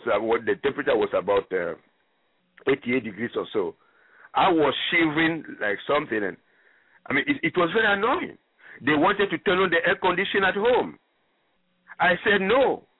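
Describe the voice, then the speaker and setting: A man's voice: mid-range voice, slightly rough, dry recording. A middle-aged man from Gabon, a native French speaker, telling his personal story with emphatic repetition and many fillers. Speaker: guest. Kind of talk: personal story on call-in radio. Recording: tinny phone line.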